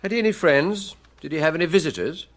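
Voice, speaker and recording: An actor with a British accent playing a brisk, dry, world-weary chief inspector who questions with authority in a radio drama. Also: male voice, a little high, animated, natural voice. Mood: probing.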